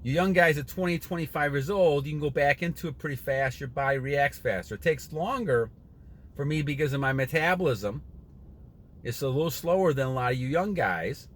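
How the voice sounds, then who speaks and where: A man in his sixties giving a casual motivational monologue to his viewers, rambling and earnest, often repeating himself and clearing his throat. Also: fairly high pitch, a little fast, a little hiss or hum. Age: 60s. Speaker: channel host.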